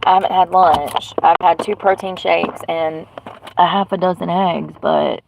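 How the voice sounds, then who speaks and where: A woman's voice: fairly low pitch, steady tone, a little slow, creaky, background noise. Stuffy-nosed woman chatting from her truck. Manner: rambling, blunt, swears casually. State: stuffy nose. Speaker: livestream host. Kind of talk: casual livestream chat.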